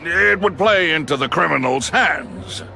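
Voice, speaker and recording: An actor playing a powerful, arrogant tycoon in a video game, speaking with pompous, formal diction and a gravelly edge. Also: male voice, on the high side, animated, measured pace, sharp tone, soft background music, heavily acted. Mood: smug, genial. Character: powerful, arrogant male tycoon, smooth but quick to anger. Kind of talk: video game cutscene acting.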